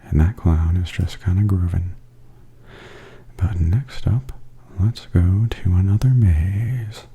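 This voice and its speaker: A man giving softly spoken ASMR tour commentary in a breathy, often near-whispered voice, gentle and observational. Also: deep voice, takes it slowly. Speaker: vlogger giving a walking tour. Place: walking through a theme park and its indoor attractions. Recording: steady background noise and ambience.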